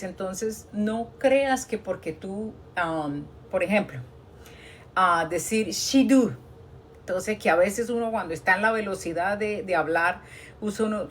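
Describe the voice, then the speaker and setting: A woman's voice: mid-range voice, a little hiss or hum. Earnest Colombian woman giving advice. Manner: earnest, encouraging, with frequent restarts and fillers. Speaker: nurse working abroad, live stream guest. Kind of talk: casual advice chat on a live stream.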